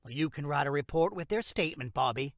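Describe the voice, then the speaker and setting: A man's voice: on the high side, animated, fast, no echo, studio quality, lightly acted. A man acting as a frank, condescending small-town sheriff in an audio drama. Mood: curt, brushing off. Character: dismissive, bureaucratic small-town male sheriff.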